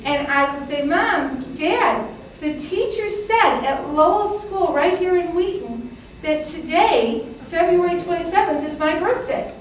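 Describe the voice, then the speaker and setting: A woman's voice: very high voice, animated, measured pace, a little hiss or hum. A woman in her sixties, from Greece, telling her family's immigrant story to an audience, chatty, with fillers and little laughs. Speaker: presenter. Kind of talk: personal history talk to an audience. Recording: echoey hall, from a distance.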